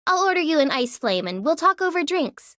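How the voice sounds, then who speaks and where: A breezy young game operator. Her voice is high and very lively in pitch, casual and teasing, in a dry studio sound. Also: fairly quick, dry recording. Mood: casual concern. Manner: casual, playful. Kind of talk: English video game character voice lines.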